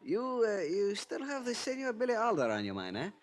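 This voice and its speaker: An actor playing a genial Venezuelan police chief in an old radio drama, speaking Spanish-accented English in a courtly, teasing way. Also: male voice, high-pitched, wildly swooping pitch, a little fast. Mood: teasing, evasive. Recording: old-time radio recording.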